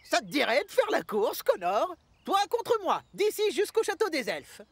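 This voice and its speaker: Creaky, cheeky little boy engine. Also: high-pitched, huge pitch swings, normal speed, no echo, exaggerated voice. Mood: taunting. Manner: cheeky, conspiratorial, boyish. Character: mischievous, cheeky young male twin tank engines. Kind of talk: French-dubbed children's animation acting.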